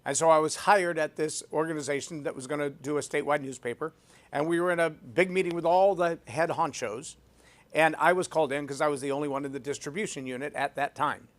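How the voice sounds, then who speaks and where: Male host, leadership monologue, emphatic, slightly higher voice, repeats key lines. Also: fairly quick. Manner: emphatic, repeats phrases for effect; self-described loud voice. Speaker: podcast host. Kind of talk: leadership talk with personal story.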